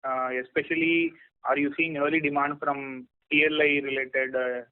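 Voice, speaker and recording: Man, analyst caller, fairly high pitch, polite, hesitant, narrow telephone sound. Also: flat delivery, normal speed. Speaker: financial analyst calling in. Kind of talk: asking questions on an earnings call. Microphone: telephone handset or line. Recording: phone-like call line.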